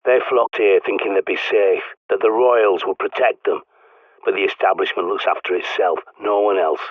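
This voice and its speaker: An actor playing a gruff, bossy British survivalist who guides a player by radio, speaking bluntly and swearing freely. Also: male voice, lowish voice, fairly even intonation, normal speed, no room sound. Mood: grim. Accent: British English. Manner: barking orders over a radio. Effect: radio filter. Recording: tinny dry radio-line sound.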